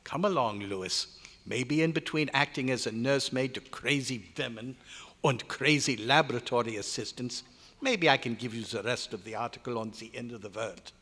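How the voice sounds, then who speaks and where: A man's voice: medium pitch, moderate pace, pushed and bright. Man playing irritable doctor, echoey hall. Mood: exasperated. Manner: exasperated, emphatic, theatrical. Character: irritable, domineering German male scientist, a doctor. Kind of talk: old-time radio drama recreation. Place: hotel convention meeting room. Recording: echoey hall, live stage performance.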